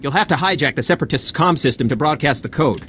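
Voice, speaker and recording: Higher-voiced businesslike military tech man. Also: animated, quick pace, fairly bright tone, a little hiss or hum. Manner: matter-of-fact, instructive. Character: businesslike male military tech aide giving instructions. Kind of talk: video game cutscene dialogue.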